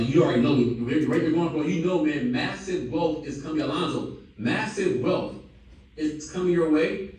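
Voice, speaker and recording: A man's voice: a little high, darkish tone, very echoey, recorded from a distance, low-quality recording. A man in his thirties giving a hyped, slangy motivational talk to his team on a call. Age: 30s. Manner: hyped, repetitive, slangy and exclamatory. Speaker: company leader addressing a team call.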